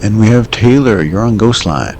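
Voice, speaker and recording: Casting: male actor as deep gravelly late-night radio host, calm, probing, low faint hiss behind. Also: normal speed. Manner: calm, probing short questions.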